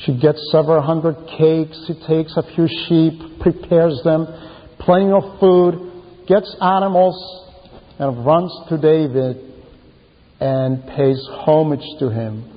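Echoing, slow-paced man preaching. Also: a little high, steady tone. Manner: deliberate short phrases with pauses, emphatic storytelling. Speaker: preacher reading a translated homily. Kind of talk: sermon, retelling Bible stories. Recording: muffled, long reverberant hall echo.

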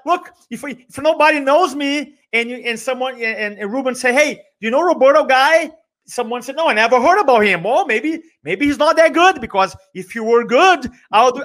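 A man in his forties with a Brazilian accent, speaking as an energetic interview guest who repeats words for emphasis and acts out quoted dialogue. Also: extremely high pitch. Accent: Brazilian Portuguese. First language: Portuguese. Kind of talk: podcast interview.

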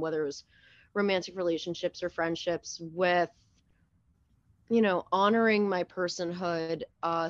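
A young woman as a podcast guest in casual chat, animated and profane, full of quick jokes and fillers. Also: lowish voice, no echo, muffled recording.

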